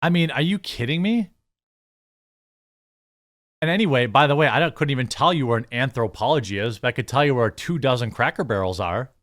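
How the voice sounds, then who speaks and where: A man's voice: medium pitch, expressive, brisk, a bit bright. An American man giving opinionated news commentary, reading articles aloud and reacting with blunt, sarcastic, exasperated asides. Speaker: commentary show host.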